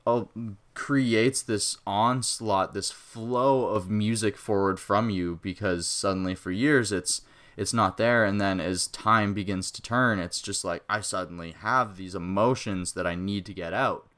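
A man's voice: on the low side, measured pace, fairly bright tone. A man interviewing a musician, admiring and effusive, asking long musing questions.

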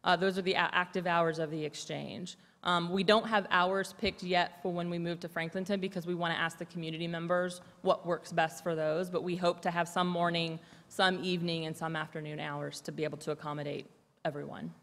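A woman gives testimony at a council desk microphone, her voice on the lower side for a woman as she explains with many small fillers. The big chamber adds a long echo behind her. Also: little pitch movement, fairly quick, fairly bright tone. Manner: earnest and explanatory, frequent um and uh. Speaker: program representative testifying. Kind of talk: answering council questions. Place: large city council chamber. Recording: long reverb of a large chamber.

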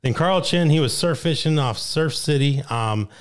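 A young man with a New Jersey accent hosting a weekly fishing report, reading catches from notes at a boom mic in a small studio. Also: lively intonation, smooth. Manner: upbeat, reads names and catches in quick lists. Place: small studio with brick wall, indoors. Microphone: boom mic on arm, headphones.

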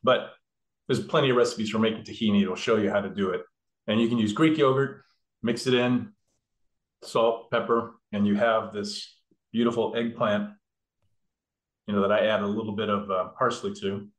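A man's voice: on the low side, fairly even intonation, moderate pace. A man demonstrating a recipe in a kitchen, explaining each step casually with many fillers and restarts. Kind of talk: casual cooking demo. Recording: echoey room.

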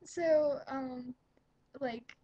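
Chatty young woman with a breathy voice. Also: high-pitched, fairly even intonation, extremely slow, warm tone, lo-fi sound. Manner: rambling, upbeat, lots of fillers and giggles. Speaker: vlogger. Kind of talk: casual webcam chat. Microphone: webcam.

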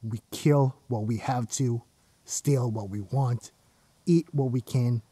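An actor in an audio drama playing a hardened, commanding rebel gang leader, fiercely loyal and ruthless, with a husky voice. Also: male voice, mid-range voice, takes it slowly, dark tone, no echo. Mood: grim, commanding. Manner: commanding, intense. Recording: music under the voice.

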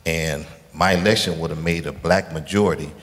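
A man from Illinois, an activist at an audience microphone, delivering a deliberate, persistent preamble to a question. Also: rumbling low, steady tone, fairly bright tone. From Illinois, United States. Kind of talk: prepared public question with preamble. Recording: reverberant hall PA.

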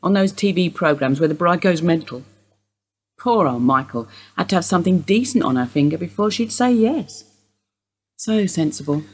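Woman acting a husky, dry older widow. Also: on the low side, animated, moderate pace. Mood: wry. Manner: dry, wry, unsentimental. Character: dry, blunt older widow under police questioning. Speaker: actor in a radio play. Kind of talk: radio drama dialogue.

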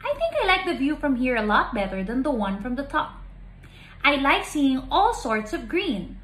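A woman voicing a small, cheerful, curious young male bird in a children's storybook, bright and eager. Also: high-pitched, sing-song, normal speed, roomy sound.

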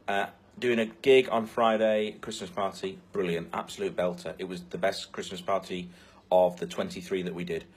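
A man's voice: sits low, steady tone, moderate pace, slightly rough. A man, a DJ, chatting enthusiastically about a great gig into a phone held at arm's length. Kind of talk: casual recap.

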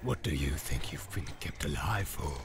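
A man's voice: rumbling low, lively intonation, normal speed, voice pushed down. A man voicing a menacing, sly orc captor who hisses his sneering threats in an audiobook fantasy tale. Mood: sneering menace. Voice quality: hissing, whispery. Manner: sneering, mock-affectionate threats.

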